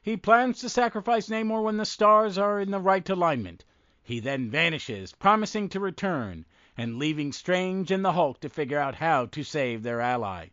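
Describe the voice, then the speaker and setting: A man's voice: on the high side, lively intonation, normal speed, a bit bright, highs cut off. Congested man with a froggy cold voice. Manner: rambling, self-interrupting. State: head cold. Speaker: podcast host. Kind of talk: casual podcast chat.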